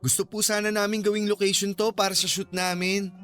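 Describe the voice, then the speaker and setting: An eager young male vlogger in a Filipino radio drama. His voice is high for a man, with a polite, persuasive manner. Faint music plays behind him. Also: level tone, fairly quick, fairly bright tone, clean tone.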